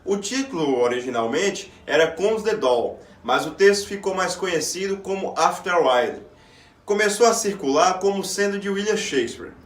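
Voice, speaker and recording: A man's voice: high voice, expressive, normal speed. A man with a Brazilian accent, an actor reciting a scripted theatrical monologue. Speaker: stage actor. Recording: echoey room, distant microphone.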